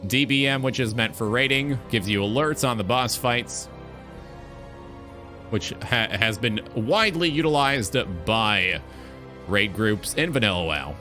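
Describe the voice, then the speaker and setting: A man's voice: medium pitch, animated, measured pace, bright, forceful tone, faint music behind. A man hosting a gaming talk show, delivering an opinionated monologue in an emphatic, blunt, sweary way.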